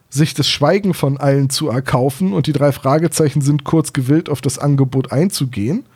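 A man's voice: medium pitch, mellow. A man chatting casually as co-host of a podcast about books and audio plays.

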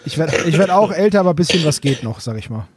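A man's voice: a little high, animated, fast talker, breathy edge, no room sound. A man co-hosting a podcast, chatting casually in colloquial, slangy phrasing. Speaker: podcast co-host.

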